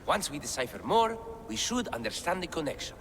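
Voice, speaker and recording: An eager scholar. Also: male voice, on the high side, big pitch swings, a little fast, a bit bright, in a large hall, a little hiss or hum. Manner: enthusiastic, scholarly, talks up his discoveries. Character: eager male scholar deciphering ancient writing. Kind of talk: game cutscene acting.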